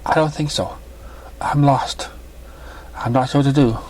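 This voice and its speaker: A man's voice: medium pitch, measured pace, a little mellow, slight background noise, lightly acted. A lost pony mare from a cartoon story, as a man reads her. She sounds timid and unsure of herself, speaking in a hesitant, subdued way.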